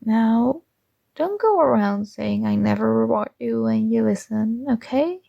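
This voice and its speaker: A woman's voice: fairly high pitch, expressive, creaky, dry recording, muffled recording, full character voice. A woman playing a possessive, sweetly controlling princess. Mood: sweetly commanding. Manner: soft, slow, coaxing and teasing. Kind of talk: ASMR roleplay.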